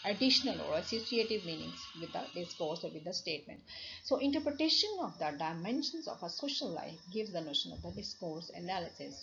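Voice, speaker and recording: A woman lecturer with a Pakistani English accent, a native Urdu speaker, explaining slides slowly with many fillers and restarts. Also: lowish voice. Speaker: university lecturer. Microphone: voice-over on slides. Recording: dull lo-fi recording with faint noise.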